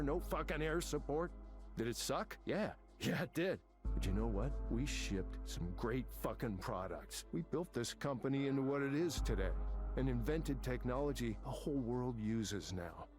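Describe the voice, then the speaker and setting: A man's voice: medium pitch, animated, moderate pace, close to an everyday voice. A man playing an angry, middle-aged veteran tech manager in a visual novel game, combative, sarcastic and foul-mouthed. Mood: fired up. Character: angry veteran male tech manager, arrogant, bitter, ranting. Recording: game audio with music behind. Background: music.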